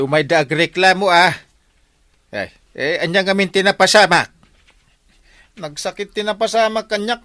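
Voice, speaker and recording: Natataranta at pautal-utal na kapitan ng barangay. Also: male voice, high-pitched, bright, forceful tone, no echo, clean studio sound, heavily acted. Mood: defensive. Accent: Ilocano. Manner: stammering, repeats short exclamations. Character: excitable, stammering, blustering village captain in a comedy. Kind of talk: broad Ilocano radio comedy acting.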